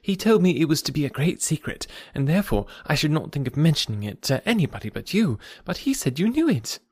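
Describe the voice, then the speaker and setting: An eager, naive young woman, acted out by a male narrator in a classic novel reading. The voice is high and swoops excitedly, gushing with breathless indignation. The sound is close and dry. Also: a little fast, breathy edge, no echo. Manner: gushing and emphatic. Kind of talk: classic novel audiobook character dialogue.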